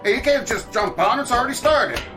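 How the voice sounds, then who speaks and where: A brusque carousel attendant from a radio drama, pitched high and very animated. He barks orders in a folksy, bossy manner with music clearly behind him. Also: brisk. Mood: brusque, scolding. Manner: barking, folksy.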